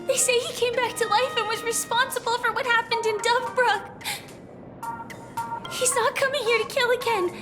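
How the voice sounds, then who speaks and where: An actress playing a timid, frightened young student in an anime-style game, stammering anxiously. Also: female voice, very high-pitched, fairly even intonation, normal speed, over music. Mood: alarmed.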